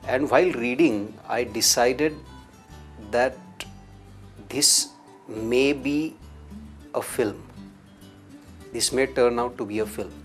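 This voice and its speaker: A man, a filmmaker, slowly and thoughtfully recalling how he came to make his first feature film. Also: medium pitch, soft background music. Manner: slow, reflective. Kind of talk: interview testimony.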